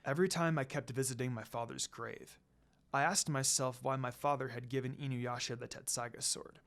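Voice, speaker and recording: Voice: mid-range voice, fairly even intonation, a little fast, pitched below the performer's own voice, close to an everyday voice. A storyteller voicing a cold, proud male demon lord in a fan fiction reading, murmuring and stern. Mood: brooding, bitter.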